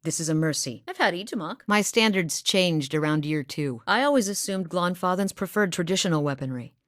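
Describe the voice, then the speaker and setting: Casting: an actress doing a low-voiced, blunt northern huntress for a game, deadpan, dry close-mic booth. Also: female voice, fairly quick, dry recording, close to an everyday voice. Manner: dry humor, matter-of-fact. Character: steady, blunt woman hunter from a far northern village. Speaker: game character voice lines. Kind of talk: studio game voice acting. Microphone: close studio mic.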